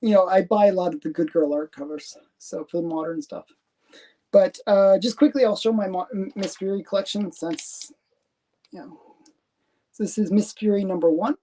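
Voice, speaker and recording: A Canadian woman with a Canadian accent hosting a live stream, talking in a rambling, halting way with lots of fillers and restarts. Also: medium pitch, mellow, low-quality recording. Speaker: comic collector host. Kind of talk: casual live stream show-and-tell.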